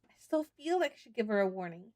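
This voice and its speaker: A woman giving casual, animated, giggly and sweary commentary while playing and reading a game aloud. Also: medium pitch, fast, no room sound. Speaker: game streamer. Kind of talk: casual let's-play commentary with read-aloud.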